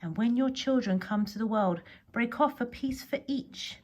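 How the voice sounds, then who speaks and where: A woman's voice: on the high side, moderate pace, a little mellow, pitched above the performer's own voice, natural voice. Protective fairy godmother acted by a woman storyteller, urgent and rhythmic, for a children's poem reading. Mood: earnest, urging. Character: protective fairy godmother, earnest, giving a magic gift. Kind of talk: poem read aloud to children.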